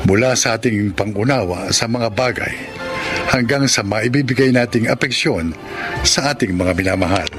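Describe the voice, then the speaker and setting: A man's voice: fairly low pitch, moderate pace. A man reading scripted narration as a radio drama announcer, in a formal, solemn manner. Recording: loud music under the voice.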